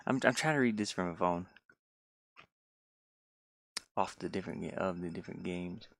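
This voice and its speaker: A man's voice: low voice, fast, gravelly, dry recording, poor audio. A man chatting casually on a livestream as he shows his own game in development, easygoing and rambling, often trailing off. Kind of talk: casual livestream chat while demoing a game.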